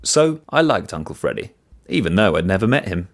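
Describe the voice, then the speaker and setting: A man reading a first-person short story as narrator, in an expressive storytelling manner. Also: low voice, a little fast.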